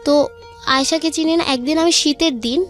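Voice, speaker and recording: A woman's voice: high-pitched, steady tone, normal speed, a bit bright. A young woman with a Bangladeshi accent tells her own story conversationally, recounting who said what in a colloquial way over music. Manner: colloquial, recounting dialogue turn by turn. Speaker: guest telling own life story.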